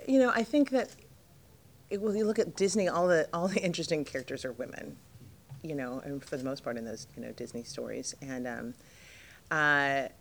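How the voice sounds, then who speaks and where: A middle-aged woman with a low voice, jet-lagged, chatting in an interview as the guest actress, rambling with lots of fillers and restarts. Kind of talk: casual interview chat.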